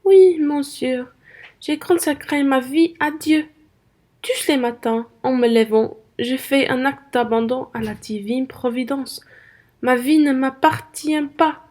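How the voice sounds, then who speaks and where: A young woman playing a pious, earnest nun in a French radio play, speaking solemnly and deliberately. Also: high voice, a little mellow. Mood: devout. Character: pious, earnest nun devoted to nursing soldiers.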